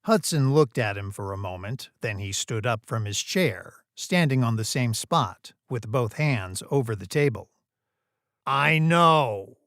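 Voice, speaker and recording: A man's voice: fairly low pitch, expressive, a little slow, few pauses, dry recording. Man narrating a story, very dry sound.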